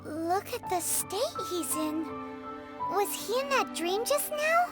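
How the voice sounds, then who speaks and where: A woman voicing a tiny, chirpy, excitable childlike fairy companion in a video game, with a squeaky voice. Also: takes it slowly, exaggerated voice. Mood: surprised. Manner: excitable, exclaiming, refers to self in third person. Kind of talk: video game character acting. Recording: music behind the voice.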